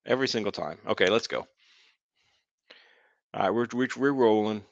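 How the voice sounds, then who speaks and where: A man's voice: fairly low pitch, moderate pace, vocal fry, no room sound. A man streaming a game, chatting casually with his viewers and laughing often. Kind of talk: casual live-stream commentary. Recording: dull lo-fi dry sound.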